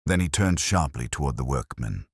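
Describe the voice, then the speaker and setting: A male audiobook narrator tells a scripted mystery in a solemn, atmospheric way. His voice is extremely deep and varied in pitch, recorded very dry. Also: animated, normal speed, husky, dry recording.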